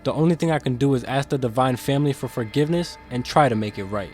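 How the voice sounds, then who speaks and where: A male actor voices a guarded demon who carries his guilt into every word. He is earnest and quick to defend himself, in a middling male pitch. It is audio drama, with music playing behind him. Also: a little mellow, natural voice. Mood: defensive, anguished. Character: guarded, conscience-stricken demon insider smuggling secrets to captive.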